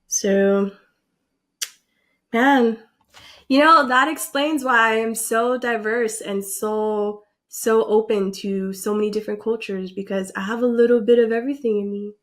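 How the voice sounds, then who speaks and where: A young woman vlogging casually, reacting in an animated, excitable way and filling her talk with likes and ums. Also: on the high side, unhurried. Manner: animated, excitable, filler-heavy with frequent likes.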